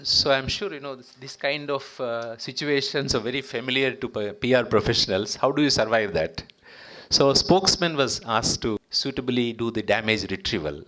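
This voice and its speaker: A man from India with an Indian accent delivers a public speech as a guest diplomat. His mid-pitched voice is formal and emphatic. He sets out his points in short, deliberate phrases, as one addressing a gathering of professionals. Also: sharp tone.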